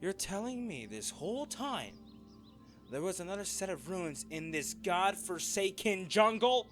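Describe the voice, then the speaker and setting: A male actor plays a cocky treasure hunter in an adventure audio drama. He talks in a wry, flippant way, unhurried but with very lively swings of pitch. Music plays clearly behind him. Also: heavily acted. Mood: hushed, curious. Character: cocky, wisecracking male treasure hunter trekking through jungle ruins. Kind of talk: dramatic audio roleplay addressed to a companion.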